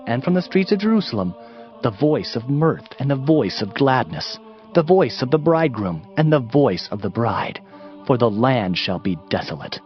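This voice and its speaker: A man's voice: mid-range voice, very animated, moderate pace. A man narrating a dramatized Bible reading in a solemn, emphatic, declamatory manner. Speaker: scripture narrator. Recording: slightly muffled, music underneath.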